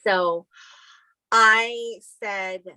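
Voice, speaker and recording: Chatty woman with a bright, dry sound. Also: on the high side, extremely slow, clean tone, dry recording, poor audio. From El Salvador. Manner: chatty, animated storyteller who laughs often. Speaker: podcast co-host. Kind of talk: casual chat. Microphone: video call recording.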